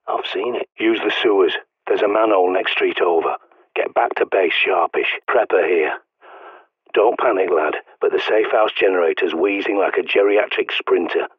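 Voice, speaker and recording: Game role: a husky, low-voiced British prepper on the radio, blunt, cynical, profane. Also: male voice, dry recording. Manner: sardonic, blunt, swears often. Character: gruff male survivalist radio guide, cynical. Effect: radio transmission. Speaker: radio guide character. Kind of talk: video game voice acting. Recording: tinny radio line.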